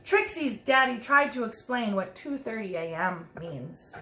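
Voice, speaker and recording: A woman reading a picture book aloud to young children, expressive, with chatty asides and simple questions to her listeners. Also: a little high, unhurried. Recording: roomy echo, some background noise.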